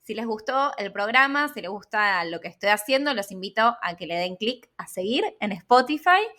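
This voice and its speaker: An Argentine woman hosting a podcast interview in an informal, conversational way, halting and repeating words as she goes. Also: mid-range voice, animated, pushed and bright.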